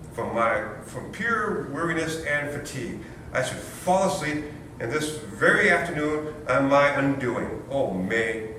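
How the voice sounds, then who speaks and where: A man playing an anxious, indignant new father in a stage comedy, with a pompous, florid, self-pitying delivery. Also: natural voice. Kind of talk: stage comedy readers theater. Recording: roomy, distant, noisy hall recording with rumble.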